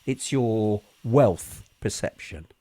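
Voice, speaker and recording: A man chats slowly on a talk show, dropping in short questions. His pitch moves in a lively way, and his voice sounds dry and close. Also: no room sound. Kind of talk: casual chat.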